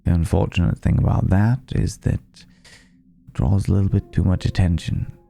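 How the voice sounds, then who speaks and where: A man playing an old aristocratic male vampire, gravelly, deeply mellow, refined, lingering pauses, quiet menace, close ASMR roleplay. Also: steady tone. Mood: smug, confiding. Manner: genteel, unhurried, savouring pauses and words. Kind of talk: ASMR roleplay, intimate second-person acting.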